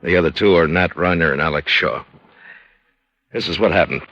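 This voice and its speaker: A gruff American underworld informant, extremely deep and rough-edged. He is cornered and remorseful in a vintage radio drama with a muffled sound. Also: brisk, a bit bright, no echo. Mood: grim, confiding. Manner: gruff, plain-spoken. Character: cornered, remorseful tough male syndicate informant in hiding. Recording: muffled old radio recording.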